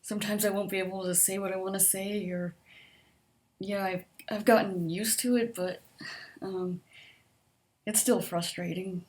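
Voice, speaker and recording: A woman with a quiet voice and a mild stutter, answering survey questions in a hesitant, casual chat. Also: mid-range voice, fairly even intonation, a little slow, long pauses. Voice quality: soft-spoken with a mild stutter. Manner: hesitant, with frequent ums and likes, laughs softly.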